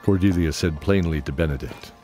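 A middle-aged man hosting and narrating an audio drama in a measured, deliberate way with long pauses. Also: sits low, mellow. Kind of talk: sincere spoken introduction, then scripted fantasy narration. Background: new-age music.

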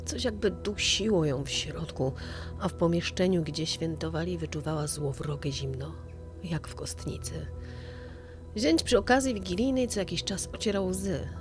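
Female narrator, low voice, scripted true-crime storytelling over clear background music. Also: moderate pace.

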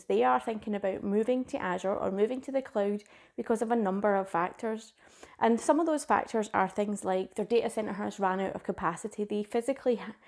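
A woman's voice: medium pitch, a little mellow. Scottish woman, 30s, tech presenter, chatty and keen, informal delivery, webcam in a small room. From Scotland. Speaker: cloud advocate presenting a conference session. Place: small indoor room with a plain wall.

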